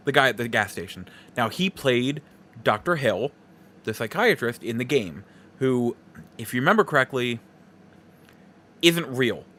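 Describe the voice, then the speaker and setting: Guy doing a casual podcast review, mid pitched voice, kinda rambly and chatty. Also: a bit bright. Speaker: podcast host. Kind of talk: casual movie review monologue.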